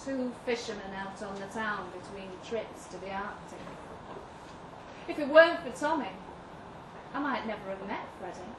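A woman giving an expressive, measured first-person reading of a literary short story at a live event. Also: mid-range voice. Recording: roomy live venue, distant mic, noisy.

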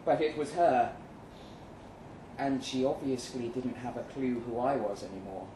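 A man performing a live short-story reading as a weary, jaded agent, speaking deliberately with dramatic pauses. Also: medium pitch, natural voice. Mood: wistful. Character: weary, jaded male agent narrating his own story. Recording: roomy live venue, some noise.

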